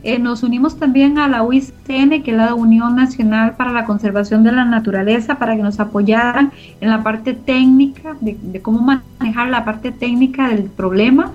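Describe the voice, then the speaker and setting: Higher-voiced woman explaining, muffled sound. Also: fairly even intonation, normal speed, hardly any pauses, darkish tone. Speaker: radio drama producer being interviewed. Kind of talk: explanatory interview talk. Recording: muffled sound with music underneath. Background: music.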